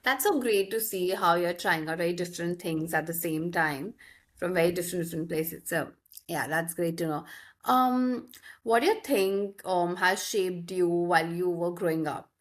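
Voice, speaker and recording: A woman's voice: fairly low pitch, moderate pace. A woman hosting an interview, asking friendly, encouraging questions. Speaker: podcast host.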